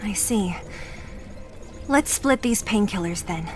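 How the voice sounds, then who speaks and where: A woman's voice: mid-range voice, animated, slow, soft background music. An actress voicing a tough, blunt young woman fighter in a video game, direct and casual but loyal. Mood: relenting.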